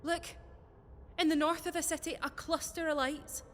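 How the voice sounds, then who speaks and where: A woman's voice: sits high, steady tone, normal speed, fairly bright tone, long reverb tail, slight background noise. An actress playing a brave, steadfast woman of the resistance in an audio drama, earnest and reassuring. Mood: excited wonder. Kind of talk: fiction podcast audio drama acting.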